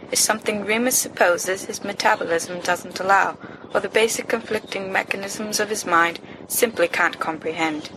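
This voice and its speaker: Woman narrating fiction over clear background noise. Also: mid-range voice, a bit bright. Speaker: volunteer audiobook reader. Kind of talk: expressive narration of fan fiction. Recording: noisy amateur home recording.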